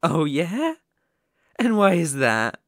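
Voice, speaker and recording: A man playing a sadistic, obsessive young kidnapper in an ASMR roleplay, gloating with menacing, mocking, drawn-out phrasing. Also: fairly high pitch, very animated, a bit bright, dry recording, clean studio sound, exaggerated voice.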